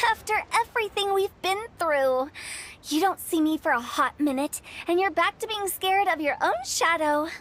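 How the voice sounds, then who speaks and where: A woman's voice: extremely high pitch, expressive, unhurried, fairly bright tone, a little hiss or hum, full character voice. A woman playing a mischievous, theatrical young funeral director in a video game, with a showy, teasing delivery. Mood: teasing, playful. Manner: showy, teasing, sing-song flourishes.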